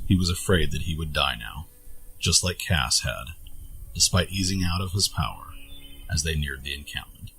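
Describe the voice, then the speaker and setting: A man's voice: a bass voice, pushed and bright, low-quality recording. A man reading scripted narration for a fantasy adventure story.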